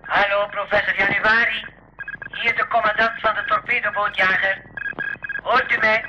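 High-voiced naval commander over crackly radio. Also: male voice, level tone, normal speed. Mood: stern, official. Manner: formal, official, emphatic. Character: stern, insistent naval destroyer commander. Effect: radio transmission. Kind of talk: 1980s radio play acting. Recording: muffled, noisy radio transmission sound.

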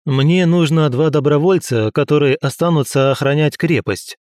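Man doing a practical general, matter-of-fact, warm dark tone, dry sound. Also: fairly high pitch, moderate pace, no echo, close to an everyday voice. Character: seasoned male general, practical, concerned. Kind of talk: audiobook character voice.

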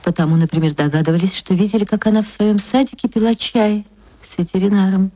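A woman actress narrating a literary story for radio in a measured, theatrical storytelling manner. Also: mid-range voice, warm tone, no echo.

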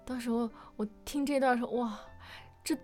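A woman's voice: on the high side, steady tone, moderate pace, darkish tone. A woman hosting a casual fan quiz in a chatty, conversational way, leading the questions. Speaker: quiz host. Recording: music behind the voice.

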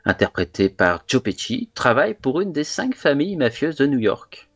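Measured man reading quiz questions. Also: medium pitch, very animated, fairly bright tone. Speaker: quiz host. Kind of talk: podcast quiz chat.

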